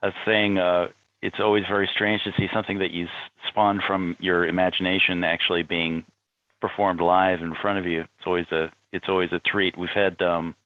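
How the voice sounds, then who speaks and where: A man's voice: low voice, little pitch movement, fairly quick, dry recording. A man speaking reflectively as a playwright guest on a panel, over muffled, dry video-call audio.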